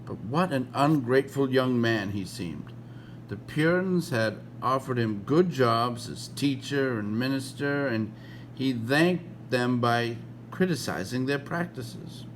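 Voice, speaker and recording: An American man reading aloud informally like a read-along host, halting at times and correcting himself as he goes. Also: medium pitch, slight background noise.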